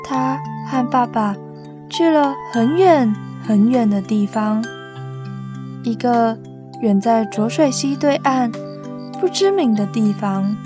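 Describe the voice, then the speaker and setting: A woman with a Taiwanese accent reads a picture book aloud. Her voice is a little high and she reads very slowly, with clear tinkling music behind her. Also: animated. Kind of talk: scripted storybook reading.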